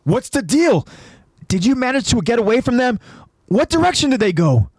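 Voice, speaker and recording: A man voicing a brash, exasperated young male soldier in an anime story, loud, scornful and commanding. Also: very high-pitched, big pitch swings, moderate pace, husky, no room sound, pitched above the performer's own voice, full character voice. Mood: demanding.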